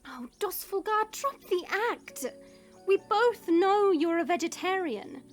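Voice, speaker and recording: A woman's voice: very high voice, animated, a little slow, soft background music. A woman playing a proud, determined dragon princess cursed into human form in a fantasy audio drama, earnest and regal. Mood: familiar, confident. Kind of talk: fantasy audio roleplay acting.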